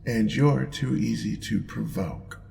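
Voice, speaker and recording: A man playing a smug, indulgent demon lord in an audio roleplay, with a deep gravelly voice and a playful, purring, drawn-out teasing manner. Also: a little mellow, exaggerated voice. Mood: teasing, seductive. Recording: long reverb, clear background noise.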